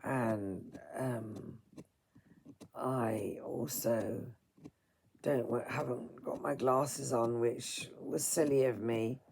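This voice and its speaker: A tired woman with a hoarse, dry throat, speaking to listeners in a halting, politely formal way as a storyteller. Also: extremely low pitch, a little mellow, a little hiss or hum. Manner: halting, apologetic, formal wording. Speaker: storyteller reading aloud and singing. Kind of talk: informal apologetic talk to listeners.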